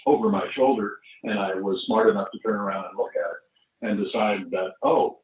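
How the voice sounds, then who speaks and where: An older man explaining things as a guest in a measured storytelling way, on an echoey, distant, thin recording. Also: on the low side, narrow, tinny audio. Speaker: guest, actor and theatre producer. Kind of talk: conversational explanation.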